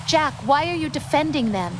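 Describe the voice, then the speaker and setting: A woman playing a composed, caring mission support analyst in a video game, with a measured, informative delivery. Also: high-pitched, expressive, background noise. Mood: concerned. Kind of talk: video game voice acting, briefing over radio.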